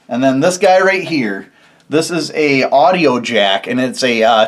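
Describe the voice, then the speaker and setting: A man hosting a casual how-to video, explaining things step by step in an informal, folksy way. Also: mid-range voice. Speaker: host of a DIY car electronics video.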